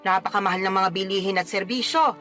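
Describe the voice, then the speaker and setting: A woman's voice: medium pitch, fast, sharp tone, lightly acted. An actress with a Filipino accent playing a teasing, blunt, protective middle-aged mother in a radio drama. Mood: blunt, scolding. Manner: nagging, bantering, emphatic. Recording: music bed under the voice.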